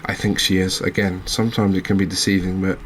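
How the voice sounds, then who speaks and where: A man giving relaxed ASMR commentary over a fishing video game, chatting to viewers and often checking in with tag questions. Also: deep voice, fast, slight background noise, low-quality recording. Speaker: gaming video host.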